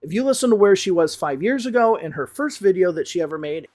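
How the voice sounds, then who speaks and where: An American man from the Chicago area, an English teacher giving a relaxed spoken review full of hedges. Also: high voice, fast. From Chicago area, USA. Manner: relaxed, talks things through with frequent hedges.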